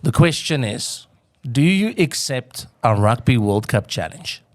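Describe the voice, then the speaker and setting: A man's voice: medium pitch, sing-song, unhurried, no echo, clean studio sound. A man with a South African accent, a radio host who jokes and teases guests on the air. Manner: joking and teasing, with laughs. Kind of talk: bantering radio chat.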